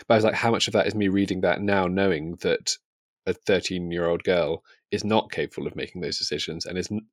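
Low-voiced man, close dry sound. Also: no echo. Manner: hesitant, thinking aloud, often rephrasing. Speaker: book podcast host. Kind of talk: casual book discussion.

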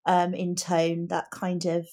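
A woman's voice: fairly low pitch, completely flat delivery, slow pace, dark tone. A woman co-hosting a podcast, talking informally about books and thinking aloud as she goes. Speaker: podcast co-host. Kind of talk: casual book chat.

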